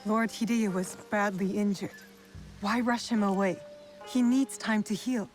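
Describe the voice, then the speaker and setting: Woman acting a defiant young warrior, medium pitch. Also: steady tone, a little slow, close to an everyday voice. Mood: challenging. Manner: blunt, challenging. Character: defiant, determined avenging female warrior in feudal Japan. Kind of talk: cinematic video game acting. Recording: faint music behind the voice. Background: faint background music.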